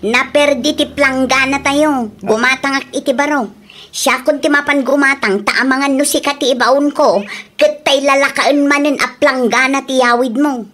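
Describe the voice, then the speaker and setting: A woman's voice: sits high, fairly even intonation, moderate pace, fairly bright tone. A woman with an Ilocano accent playing a young wife who likes expensive things, talking in a defensive, insistent way. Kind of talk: radio drama acting.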